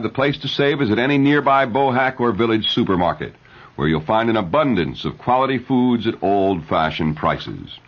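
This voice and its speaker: A man reading news and ads as a radio newscaster in a measured 1970s announcer style. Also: fairly low pitch, husky. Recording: dull, lo-fi 1970s radio broadcast recording.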